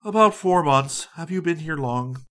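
A man's voice: a little high, expressive, moderate pace, pushed and bright. A man doing a mild, earnest reverend. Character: mild, talkative middle-aged male reverend.

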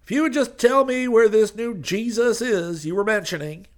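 A man voicing a wheedling, fast-talking male radio preacher with a high, sugary drawl. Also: close to an everyday voice.

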